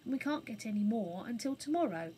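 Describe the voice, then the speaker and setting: Storybook schoolboy, voiced by a woman: cheeky, blunt, slangy, high voice, soon exasperated. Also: expressive, brisk, mellow, a little hiss or hum, close to an everyday voice. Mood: bitter, exasperated. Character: forthright, cheeky young boy, bitter when thwarted. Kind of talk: children's storybook character voice.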